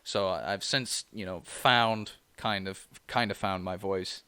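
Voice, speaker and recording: A man co-hosting a podcast in casual chat, with a low voice, rambling and filling pauses with "you know". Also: fairly even intonation, bright, forceful tone, clean tone, no room sound. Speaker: podcast co-host.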